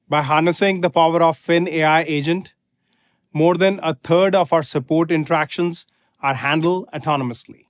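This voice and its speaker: Male customer spokesperson, slightly high pitch, scripted and measured, phrase by phrase. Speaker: guest customer speaker. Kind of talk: scripted corporate testimonial.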